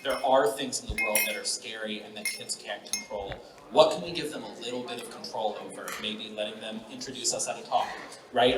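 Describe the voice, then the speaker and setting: A man delivers an articulate panel talk in an echoey room, caught from a distance with audience noise around him. He uses rhetorical questions and emphatic phrasing, in a medium-pitched voice. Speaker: panelist, mental health advocate. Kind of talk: panel talk, prepared public speaking. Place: large event hall with audience.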